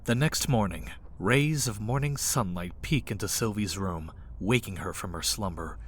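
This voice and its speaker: A man's voice: lowish voice, moderate pace. A man reading scripted story narration for an audio drama, with music playing underneath.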